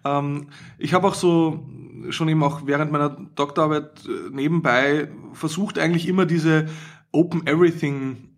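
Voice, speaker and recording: A man's voice: fairly high pitch, steady tone, normal speed. A man with an Austrian accent, an academic guest explaining his work conversationally in an interview.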